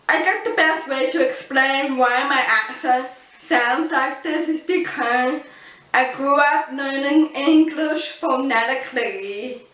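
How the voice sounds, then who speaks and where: A woman's voice: sits high, a bit bright, recorded from a distance. Young woman with a deaf accent. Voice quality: open-mouthed, heavy emphasis on sounds. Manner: slow, earnest, with frequent ums. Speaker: vlogger. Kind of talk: casual storytelling to camera. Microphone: built-in camera mic. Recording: roomy, off-mic camera audio.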